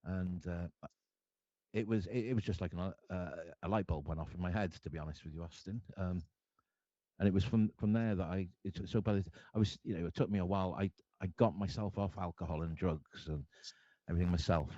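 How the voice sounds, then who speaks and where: A very low, mellow-voiced man with a Cardiff accent speaks earnestly, stopping and restarting his sentences as he goes. The sound is dull and lo-fi, dry with no room around it. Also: no echo. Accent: Welsh, Cardiff. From Wales. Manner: earnest, halting, many restarts. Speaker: guest artist. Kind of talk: candid personal storytelling.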